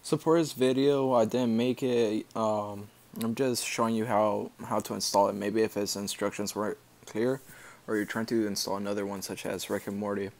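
A man giving a casual screen-recorded software tutorial, explaining step by step in an informal, halting way. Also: fairly low pitch, steady tone. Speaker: tutorial maker.

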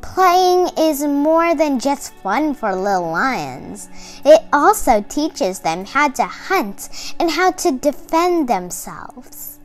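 Slow-reading young girl, high lively voice. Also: faint music behind. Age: child, about 8 to 11. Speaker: young presenter reading a picture book aloud. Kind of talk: expressive read-aloud for kids.

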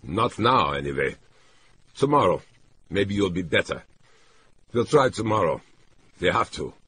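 A man's voice: sits low, very animated, unhurried, bright, forceful tone, slight background noise, voice pushed up, heavily acted. A man voicing an agitated, exasperated man with urgent, clipped delivery, in a dramatic audiobook reading. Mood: grave, exasperated. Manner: urgent, insistent, short clipped sentences. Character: agitated, exasperated man tending a disturbed woman.

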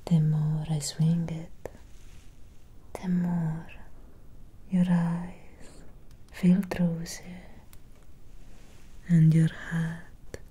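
Woman playing a whispering, very slow vampire doctor. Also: low-pitched, monotone, warm tone, exaggerated voice. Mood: soothing, hypnotic. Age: young adult. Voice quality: breathy whisper. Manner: slow, soothing, lingering pauses. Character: soothing Victorian vampire doctor woman, gentle, hypnotic. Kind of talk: ASMR roleplay. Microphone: close ASMR microphone.

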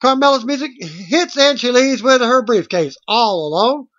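A middle-aged man reading out a wrestling results recap as host, in a halting way, on a webcam mic at home. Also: very high-pitched, expressive, a bit bright. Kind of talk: read-out play-by-play results recap. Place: small room at home, indoors. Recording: dull lo-fi webcam audio.

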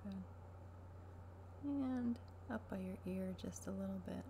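A woman in her thirties speaking softly, quietly and soothingly in a creaky voice, as a barber in an ASMR personal-attention roleplay. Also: mid-range voice, unhurried. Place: small furnished room at home, indoors. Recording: noisy webcam-style home recording.

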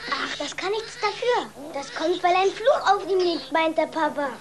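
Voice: extremely high pitch, lively intonation, normal speed, noisy background. A performer playing an earnest, insistent little farm boy in a children's radio play. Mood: stubborn, earnest. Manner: pleading, insistent.

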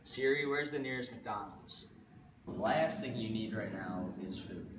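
A young man playing a self-absorbed high school student in an amateur comedy skit, talking in a casual, deadpan way. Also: unhurried. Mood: bantering. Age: young adult. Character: self-absorbed high school student torn between bickering inner voices. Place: school stairwell, hallway and gym. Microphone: camera mic at a distance. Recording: echoey school halls, distant mic, loud room noise.

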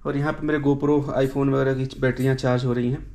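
A man's voice: mid-range voice, flat delivery, brisk, a little mellow. A man talking casually to viewers as a travel vlogger, informal and colloquial. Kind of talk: casual vlog chat to camera.

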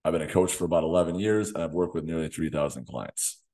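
A man in his thirties, a fitness coach hosting a solo podcast, slightly hoarse from losing his voice, talking in a direct coaching way in a small home gym on a desk mic. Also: rumbling low, fast talker, warm tone. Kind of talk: solo podcast talk to camera. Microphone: desk condenser mic in front of him.

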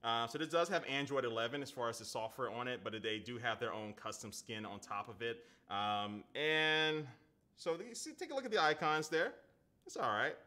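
Chatty man with a bright voice. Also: mid-range voice, normal speed. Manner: chatty, loose, lots of "like" and "man", reads viewer comments aloud. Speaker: tech reviewer. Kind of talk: casual live-stream unboxing chat. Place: indoor home studio at a desk.

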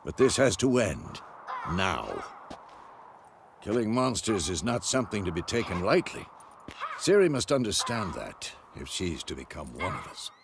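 An actor playing a gruff, stern old monster-hunter mentor in a video game, grumbling and firm. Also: male voice, low-pitched, huge pitch swings, unhurried, full character voice. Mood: grave, resolute. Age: older. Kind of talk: video game cutscene acting. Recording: clear background noise.

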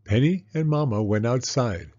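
A man reading a children's storybook aloud in a deliberate, simply phrased narration. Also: medium pitch, lively intonation, mellow, no echo, muffled recording. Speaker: storybook reader.

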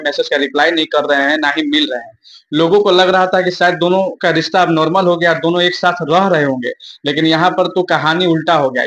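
A man's voice: a little high, fairly bright tone, dull audio. A young man giving informal news commentary to viewers in an emphatic, repetitive explaining manner. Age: young adult.

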